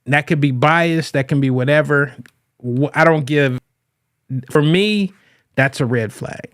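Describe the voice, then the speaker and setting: A man hosting a podcast, talking casually as a relationship coach, animated and often restarting his phrases. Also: fairly high pitch, measured pace, clear, resonant tone, dry recording, clean studio sound. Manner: animated, quotes people in raised voices. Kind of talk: casual monologue.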